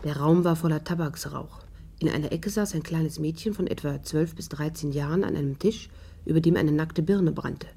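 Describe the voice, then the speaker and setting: Woman narrator, dark husky timbre, lowish pitch, scripted radio drama storytelling. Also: normal speed, heavily acted. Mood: reflective. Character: adult woman recounting her own adventure.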